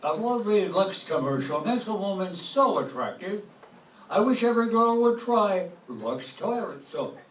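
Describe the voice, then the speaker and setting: A man playing a courtly, flattering gentleman announcer in an old-time radio soap commercial. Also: sits high, normal speed, a little hiss or hum. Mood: admiring. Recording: echoey room, distant mic, music.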